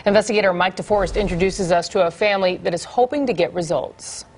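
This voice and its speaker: A woman's voice: lowish voice, quick pace, breathy edge. An American woman presenting scripted television news as an anchor.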